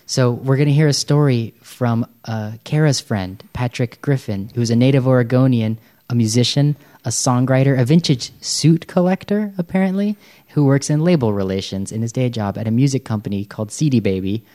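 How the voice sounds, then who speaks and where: Mellow-voiced chatty American host. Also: male voice, medium pitch, normal speed. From United States. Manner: friendly, chatty, uses "like" often. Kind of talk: casual podcast chat.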